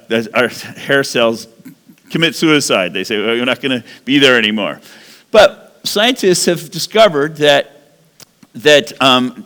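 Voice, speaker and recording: A man in his sixties giving a rambling, animated sermon-style talk as a guest speaker in a church. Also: fairly high pitch, long reverb tail. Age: 60s. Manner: animated, rambling, self-correcting.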